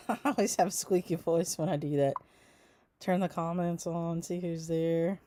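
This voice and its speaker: A woman's voice: lowish voice, steady tone, a little slow, warm tone. A woman who runs a stitching shop hosts a casual livestream, chatting with viewers, greeting them by name, with frequent ums and small laughs. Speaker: shop owner hosting a livestream.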